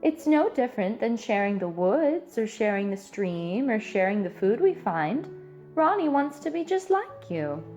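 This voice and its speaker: A woman voicing a gentle, loving mother raccoon in a storybook, speaking in a tender, soothing way. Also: fairly high pitch, big pitch swings, unhurried, lo-fi sound, faint music behind.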